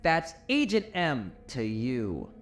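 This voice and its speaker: Young male rookie for pony audio drama, played by a man. Flustered, eager, very animated pitch, music underneath. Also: takes it slowly, heavily acted. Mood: nervous. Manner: earnest, flustered.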